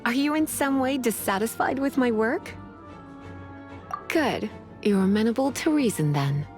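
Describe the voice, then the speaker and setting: A woman playing an elegant, languid and quietly menacing sorceress librarian in a video game, poised and coy. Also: a little high, lively intonation, a little slow, soft background music. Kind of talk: video game character acting.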